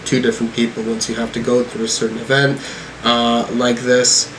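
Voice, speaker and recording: A man talks casually through a music review, thinking aloud as he interprets lyrics. His delivery is hesitant and tentative, at a middling pitch. A faint steady hum sits behind his voice. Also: normal speed. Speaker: music reaction video host.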